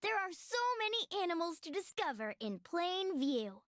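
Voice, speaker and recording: A woman's voice: very high-pitched, very animated, moderate pace, fairly bright tone, dry recording, clean studio sound, exaggerated voice. A woman voicing a sweet, slightly shy little cartoon child who speaks in sing-song rhyme. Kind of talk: scripted rhyming children's game narration.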